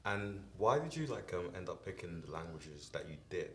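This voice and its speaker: A man hosting a podcast interview in a relaxed, casual way, often saying "like" and "yeah". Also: sits low, normal speed, echoey room, a little hiss or hum. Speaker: podcast host.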